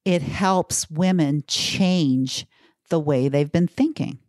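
A woman in her fifties talking as a guest coach, her voice a little low for a woman. She speaks earnestly and thoughtfully and laughs easily. The sound is dry and close. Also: takes it slowly, darkish tone, no echo. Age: 50s. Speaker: guest, weight-loss coach. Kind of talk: conversational interview.

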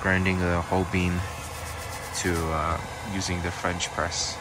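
A man's voice: low voice, level tone, unhurried. A man vlogging casually on location, giving off-the-cuff updates into a handheld camera. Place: on location, mostly outdoors at a campsite. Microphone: handheld camera or phone. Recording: loud ambient noise on camera mic.

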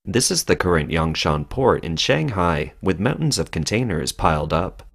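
Male news voice-over, very low and expressive, steady scripted read. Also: normal speed, gravelly, breathy edge. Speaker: narrator.

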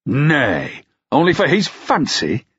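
A man's voice: low voice, unhurried, fairly bright tone, dull audio. A man voicing a proud, stern knight in an audiobook, with harsh, brusque, archaic courtly speech. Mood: outraged. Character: proud, stern, gruff young-to-middle-aged male knight.

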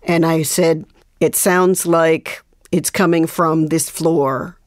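Female interview guest, low register, reflective and hesitant, dry recording. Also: flat delivery, slow pace, no echo. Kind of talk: reflective conversation.

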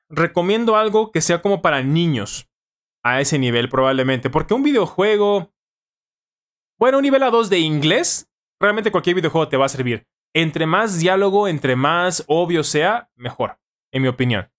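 A man's voice: on the high side, fairly bright tone. A man in his thirties with a Mexican accent hosts a casual live stream, informally answering viewers and repeating phrases for emphasis, close to a studio microphone. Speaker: live-stream host, language-learning tutor. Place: small home studio room, indoors. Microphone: studio microphone on boom arm, close.

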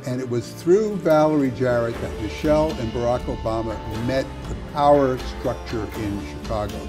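A man in his sixties giving deliberate, matter-of-fact political commentary in a chat. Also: medium pitch, over music. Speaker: interview guest. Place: study with bookshelves.

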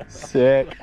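A man narrating a fishing trip from a small boat, his talk slow and drawn out while he hypes each catch with easy laughter. Wind and water wash clearly behind him on the action camera's mic. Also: a little high, highs cut off. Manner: excited, laughs often, hypes every catch. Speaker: fishing guide filming his charter. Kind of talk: casual on-camera fishing commentary. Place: small boat on open shallow flats, outdoors. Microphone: head-mounted action camera. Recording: wind and water noise outdoors.

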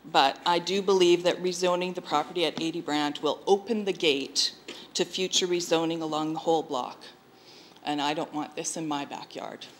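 Woman pushing back at a council meeting, low voice, firm, little hiss. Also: fairly even intonation, moderate pace. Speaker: resident objecting at a council hearing.

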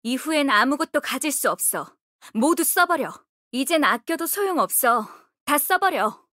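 A woman's voice: high voice, animated, unhurried, sharp tone, no room sound, studio quality, full character voice. A woman voicing a resolute, composed young female healer and guardian in a video game, speaking firmly with a solemn, commanding manner. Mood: stern. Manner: solemn, commanding, short crisp delivery. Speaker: game character voice actor. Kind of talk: video game character voice lines.

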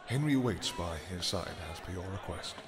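A man playing a courteous royal attendant in a fantasy audio roleplay, gently reassuring and deferential. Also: sits low, animated, fairly quick, a crowd in the background.

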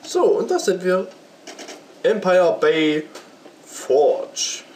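A man's voice: high voice, expressive, drawn-out words, darkish tone, slight background noise. A man with a slight cold and sore throat, chatting and joking as he comments on his own gameplay. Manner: chatty, joking, talks to the game. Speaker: let's play gamer. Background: game music.

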